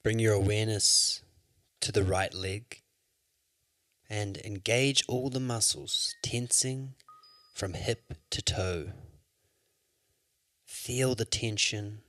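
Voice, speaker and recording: Slow, low-voiced man guiding meditation. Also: a bit bright, no room sound. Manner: soothing, measured instruction. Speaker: yoga nidra instructor. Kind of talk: guided meditation.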